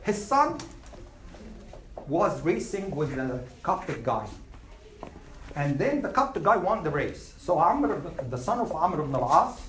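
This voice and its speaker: A man's voice: fairly high pitch, big pitch swings, unhurried, long pauses, a bit bright. A man from Egypt, teaching a class, emphatic and repeating his points. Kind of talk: classroom storytelling. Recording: echoey room, faint noise.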